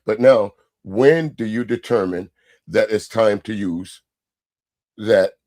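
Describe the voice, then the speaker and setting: A man's voice: on the low side, slow pace, darkish tone, no room sound, studio quality. An American man in a casual debate, pressing questions insistently and rephrasing them as he goes. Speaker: discussion participant posing questions.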